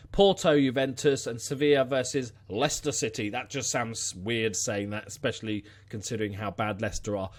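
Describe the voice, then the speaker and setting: A man with a Scouse accent hosting a casual, unscripted vlog in an informal, wry way. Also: mid-range voice. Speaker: YouTube channel host.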